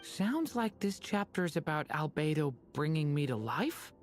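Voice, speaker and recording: An innocent young boy, a game character with a slightly low voice. The delivery is earnest, wistful and simple, with loud music behind. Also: very animated, moderate pace. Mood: curious. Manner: earnest, wistful, plain-spoken. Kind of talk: video game drama acting.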